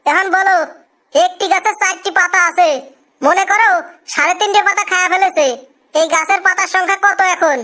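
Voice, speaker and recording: A woman's voice: very high-pitched, steady tone, normal speed, bright, forceful tone. A woman voicing a weary, grumbling cartoon job interviewer in colloquial Bangladeshi dialect, given to easy praise. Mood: pleased, approving. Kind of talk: comic cartoon dialogue.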